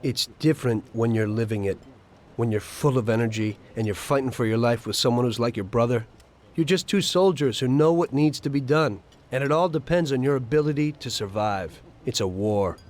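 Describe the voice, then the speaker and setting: A man's voice: medium pitch, brisk, a little mellow, a little hiss or hum, natural voice. An actor playing a weary, uneasy but loyal Mafia gunman in a video game, plain-spoken and understated. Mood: somber, reflective. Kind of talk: scripted video game dialogue.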